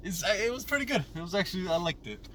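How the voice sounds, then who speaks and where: A man chatting casually as a co-reviewer, in a halting, understated way. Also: sits high, animated, fairly bright tone. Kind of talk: casual chat. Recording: noisy ambience with car sounds. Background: car and vehicle noise.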